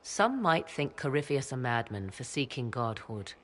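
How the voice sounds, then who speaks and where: An actress voicing a mysterious, secretive mage mother in a video game, speaking in a measured, formal, archaic way. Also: rumbling low, very animated, heavily acted. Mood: grave. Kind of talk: video game cutscene dialogue.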